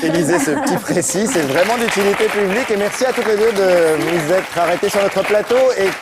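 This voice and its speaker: A man hosting a TV talk show, brisk and jokey, wrapping up toward a break. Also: high-pitched, a loud crowd, heavy background noise. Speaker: TV host.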